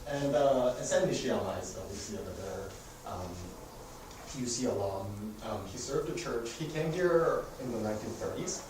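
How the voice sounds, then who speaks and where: A man's voice: medium pitch, lively intonation, normal speed. A man leading an adult church history class, speaking casually with many fillers and self-corrections; his first language is not English. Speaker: adult education class leader. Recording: echoey room from far off, noisy.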